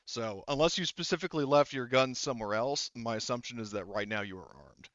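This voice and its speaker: Rambling male game master, smooth voice. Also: mid-range voice, brisk, fairly bright tone, no room sound, highs cut off. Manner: rambling, explanatory, self-correcting. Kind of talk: improvised tabletop game narration.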